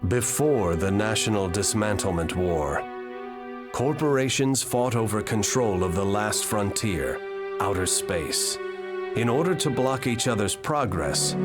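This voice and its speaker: An actor playing a serious chronicler, reading measured, scripted cutscene narration for a video game. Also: male voice, lowish voice. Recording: loud background music. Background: loud music.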